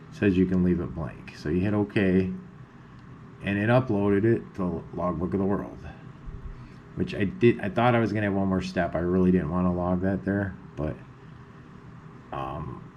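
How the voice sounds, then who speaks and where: A man giving an informal, unscripted software walkthrough, explaining things step by step as a tutorial host. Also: low voice. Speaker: amateur radio tutorial host. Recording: clearly noisy background.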